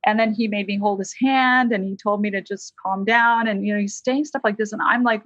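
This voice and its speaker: An American woman, a licensed counselor, telling a personal story as a podcast guest in a lively, conversational way. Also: medium pitch, a little fast, no room sound, lo-fi sound. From United States.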